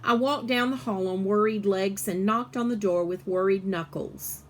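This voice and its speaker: A woman reading a children's story aloud in an animated, expressive way, with emphasis on the dialogue. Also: mid-range voice, normal speed.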